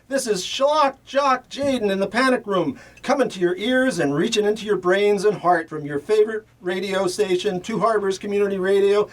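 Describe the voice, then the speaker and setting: High-voiced, brash morning shock jock played by a man. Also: moderate pace, few pauses, close to an everyday voice. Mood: upbeat, showy. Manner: showy, self-promoting. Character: brash, chummy small-town morning radio shock jock. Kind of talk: hammy morning radio DJ patter.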